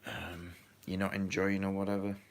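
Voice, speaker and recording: A man's voice: low voice, flat delivery, moderate pace. A man chatting casually on a live stream while building, rambling with lots of fillers and muttering to himself, a little stuffy from a runny nose.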